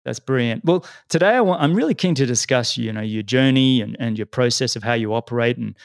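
Host voice: a mid-pitched man, friendly and casual, tripping over repeated words, dry recording. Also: expressive, fairly quick, vocal fry, dry recording. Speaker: podcast host. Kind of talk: casual interview chat.

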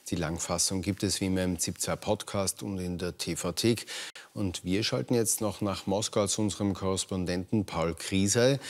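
A man in his thirties, a news anchor in a TV studio, asking measured, formal questions. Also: deep voice.

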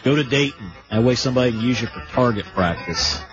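A man's voice: mid-range voice, lively intonation, quick pace, over music. An American man hosting a live radio call-in show in a folksy, exasperated, scolding way. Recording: dull lo-fi radio broadcast.

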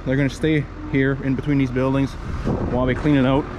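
A young man talks in a plain, matter-of-fact way as he walks through his farm chores, his voice neither high nor low. He's recorded outdoors on an action camera, and clear background noise from the yard and machinery sits under his words. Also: flat delivery, a little fast, lo-fi sound. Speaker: dairy farmer vlogger. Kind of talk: casual narration while doing chores. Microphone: action camera, sometimes held at arm's length.

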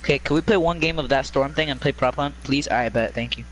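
A man's voice: mid-range voice, quick pace, fairly bright tone, a little hiss or hum. A young man in a casual gaming voice chat who repeats his words over and over. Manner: repeats himself, restless. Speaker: gamer.

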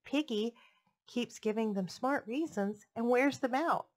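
A young woman hosting a storytime, reading picture books expressively with deliberate phrasing for young listeners. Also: on the high side. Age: young adult. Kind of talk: expressive children's story read-aloud. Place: indoors, furnished home room. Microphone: headset microphone.

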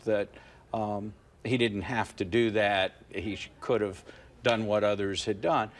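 A man in his fifties, a poll director and studio guest, explaining politics in a measured way, with fillers and restarts, on a TV studio couch and a lapel mic. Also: medium pitch. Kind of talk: analytical studio interview. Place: TV studio set, seated on a couch.

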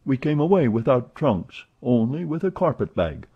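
A man voicing an excitable, chatty young French manservant who talks eagerly and protests often, in a dull lo-fi recording. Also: medium pitch, slightly rough, voice pushed up. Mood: excited. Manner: eager, exclamatory, quick to protest.

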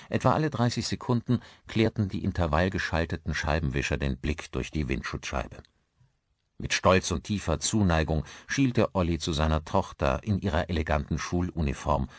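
A man's voice: very deep voice, no echo, studio quality. A man reading a scripted story as an audiobook narrator, in a dramatic style.

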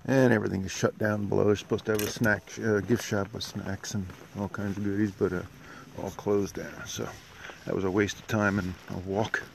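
A man's voice: lowish voice, level tone, brisk, hardly any pauses. A middle-aged man casually narrating a hiking vlog outdoors into a handheld phone. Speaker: hiker vlogger. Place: outdoors on a wooded trail and dam. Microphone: handheld phone at arm's length. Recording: clear outdoor background noise.

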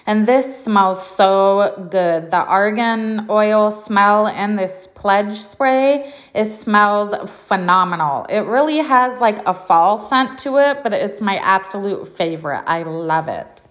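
A woman's voice: mid-range voice, animated, takes it slowly, a bit bright. A woman giving a casual, chatty voice-over as a home cleaning vlogger, talking informally.

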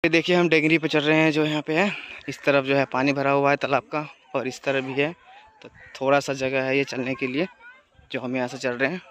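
A man in his thirties talks straight to his viewers in an easy, chatty way while he walks through open fields at dusk. The phone is held at arm's length and picks up the outdoor air around him. His voice sits at a middling pitch. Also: little pitch movement, fairly quick, a bit bright, a little hiss or hum. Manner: chatty, addresses viewers directly. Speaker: vlogger. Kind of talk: casual travel vlog narration.

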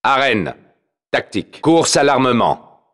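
An actor playing a tough soldier who announces a military game in a terse, commanding way. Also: male voice, mid-range voice, big pitch swings, unhurried, fairly bright tone. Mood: clipped, controlled. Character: tough male soldier calling out battle orders.

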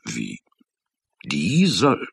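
An actor playing a blustering, sarcastic middle-aged police chief in a German radio crime drama, with a condescending, emphatic delivery. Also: male voice, deep voice, wildly swooping pitch, drawn-out words, no room sound, heavily acted. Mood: taken aback. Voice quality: gravelly, husky. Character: blustering, sarcastic senior police chief defending his force.

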